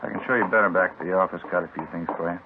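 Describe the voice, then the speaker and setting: A man's voice: low-pitched, steady tone, racing. An actor playing a cautious male handwriting expert for the police in an old radio crime drama, clipped and businesslike. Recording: noisy, muffled vintage radio recording.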